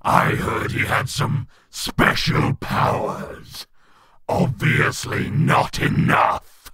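A man voicing a gloating, bloodthirsty demon in a comic dub, with a rasping, breathy growl and a sneering, boastful manner. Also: extremely slow, bright, forceful tone. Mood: scornful. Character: gloating, bloodthirsty demon monster serving his master.

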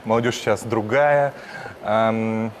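A man in his thirties from Ukraine, a singer answering interview questions in a hesitant way, with pauses and restarts. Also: fairly low pitch, little pitch movement, a little hiss or hum. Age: 30s. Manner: hesitant, soft-spoken, many pauses and restarts. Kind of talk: casual interview talk.

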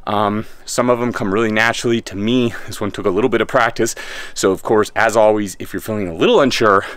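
A man's voice: fairly low pitch, fast talker, fairly bright tone, dry recording. A man in his thirties giving a laid-back, enthusiastic voice-over as a fitness coach explaining exercises. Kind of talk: workout voice-over instruction. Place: outdoor home gym by a garage.

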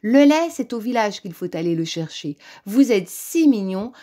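A woman's voice: mid-range voice, sing-song, moderate pace, dark tone. A woman voicing a bossy, gleeful old witch in a children's story, exclaiming and commanding with false sweetness. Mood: sweetly scheming.

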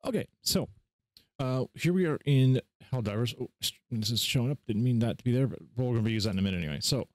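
A man hosting a casual screen-share software tutorial in an informal, offhand way. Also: medium pitch, lively intonation, fast, no echo. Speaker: tutorial host.

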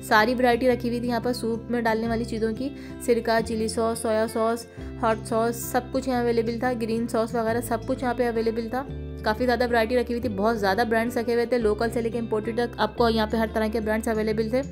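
Pakistani Urdu-accented woman, fairly high voice, level delivery, soft music under the voice-over. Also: quick pace. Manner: steady, listing prices in a level, informative tone. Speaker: shopping vlogger. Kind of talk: vlog voice-over. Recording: voice-over with faint music behind.

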